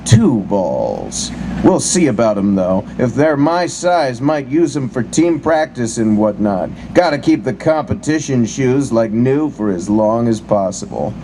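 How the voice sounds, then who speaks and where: A man's voice: medium pitch, unhurried. Guy doing a weird cocky bowling dude from a short story, folksy clipped talk, noisy background. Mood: self-assured. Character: eccentric male bowling enthusiast haggling, brazenly odd. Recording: noisy live recording with steady ambient hum.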